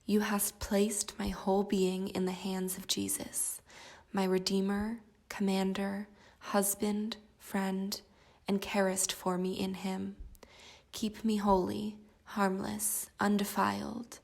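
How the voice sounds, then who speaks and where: Woman acting as a frail god-fearing colonial wife, slow n creaky, earnest, audio drama. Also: medium pitch, completely flat delivery. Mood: pious, prayerful. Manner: earnest, prayerful, archaic phrasing. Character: sickly pious colonial woman, unhappy wife, frightened.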